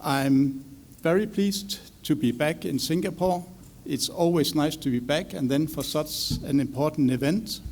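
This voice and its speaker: An older man from Denmark with a Danish accent, moderating a conference panel in a relaxed, self-joking way with many fillers. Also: a little high, measured pace.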